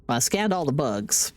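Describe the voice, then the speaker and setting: Sick woman streamer, lively low-ish voice. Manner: playful, muttering and chattering to herself. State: sick, voice deepened. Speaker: game streamer. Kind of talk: casual live gameplay commentary.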